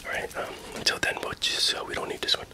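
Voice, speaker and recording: A man whispering through a silly doctor-visit ASMR roleplay, talking softly and unvoiced into a close microphone. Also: fast.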